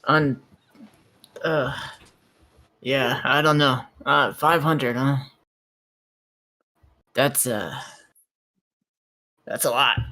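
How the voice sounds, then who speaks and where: A man's voice: medium pitch, very slow, long pauses, bright, forceful tone. A man chatting casually as a player in a tabletop roleplay game.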